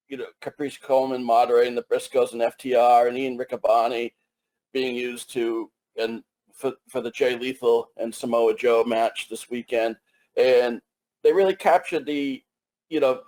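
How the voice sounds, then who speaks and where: A man's voice: medium pitch, a little mellow, low-quality recording. A male journalist asking a long-winded, hesitant question on a press conference call. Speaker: wrestling journalist.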